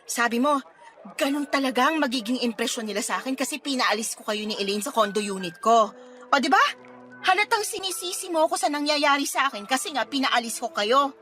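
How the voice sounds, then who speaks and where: A woman's voice: high-pitched, lively intonation, fairly quick, sharp tone, soft background music. An actress with a Filipino accent playing a hurt, angry young girlfriend, confrontational and sarcastic, in a radio drama. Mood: indignant.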